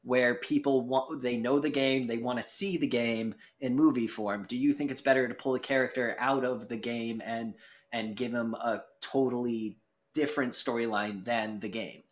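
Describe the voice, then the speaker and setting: A man hosting a casual debate podcast, putting questions in a halting, deliberate way. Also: medium pitch, fairly even intonation. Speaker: host and debate moderator. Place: indoor room.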